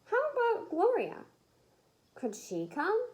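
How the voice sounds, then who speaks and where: Woman reader doing a chirpy lady principal from a kids book, high and swoopy, eager and polite. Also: wildly swooping pitch, takes it slowly, a little mellow, dull audio, full character voice. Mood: cheery, coaxing. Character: cheery, polite woman school principal in a storybook.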